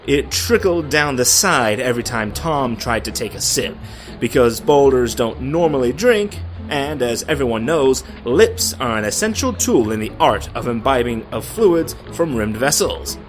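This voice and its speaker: A man's voice: mid-range voice, expressive, measured pace, faint music behind. A man giving a dramatic, comedic story reading in a theatrical, sardonic manner with exasperated asides. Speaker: narrator of a story.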